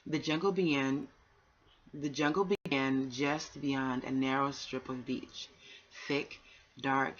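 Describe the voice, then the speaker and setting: A woman with a slightly husky voice reads a storybook aloud as bedtime narration, unhurried, pausing between phrases. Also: sits low. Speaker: amateur bedtime story reader. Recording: dull, lo-fi home recording.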